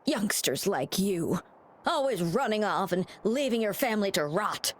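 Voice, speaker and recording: Actress as a bossy old woman, scolding, blustering, very animated intonation, video game character. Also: mid-range voice, a little slow, slight background noise, lightly acted. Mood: indignant.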